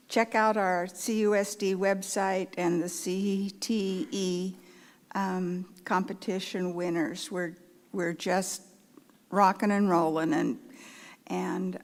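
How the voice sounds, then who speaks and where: A woman on a school board making an enthusiastic, informal announcement, with frequent "um" pauses. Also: on the low side, fairly even intonation. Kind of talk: meeting announcement. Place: public meeting room. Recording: reverberant meeting hall.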